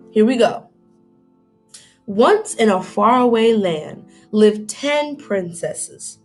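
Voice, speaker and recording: A woman's voice: medium pitch, slightly rough. A woman reading aloud to children like a teacher, dramatic and inquisitive, stopping to put questions to young listeners.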